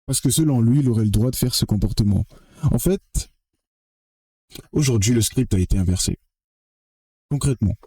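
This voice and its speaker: A man's voice: medium pitch, mellow, dry recording. A young man from French Guiana giving a blunt, emphatic opinion monologue to the camera, swearing freely and often repeating or restarting his phrases. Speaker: vlogger.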